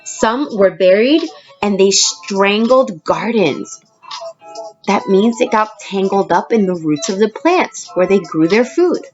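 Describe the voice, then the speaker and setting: A woman with a medium-pitched voice reads aloud as a schoolteacher would to young children. She pauses to explain and puts questions straight to her listeners. The sound is a little muffled, with soft music underneath. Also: expressive. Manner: addresses children directly, explaining words and asking questions. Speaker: elementary school teacher reading aloud to students.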